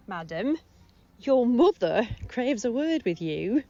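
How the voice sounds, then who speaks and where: A bustling old nurse in a Shakespeare audio drama, played by a woman. Her voice is fairly high and very animated, devoted and busy. The home phone recording is slightly muffled. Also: drawn-out words, soft background music, heavily acted. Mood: chatty, proud.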